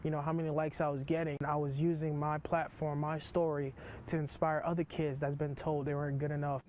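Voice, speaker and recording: A man's voice: a little high, flat delivery, brisk, darkish tone, a little hiss or hum. A young man from Haiti speaking earnestly and reflectively in an interview. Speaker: interviewee, athlete.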